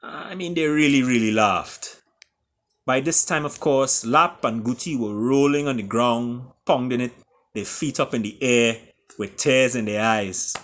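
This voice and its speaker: A man with a Caribbean accent reading his own story aloud in a theatrical way, with deliberate pauses. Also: mid-range voice, a bit bright. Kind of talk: dramatic storytelling.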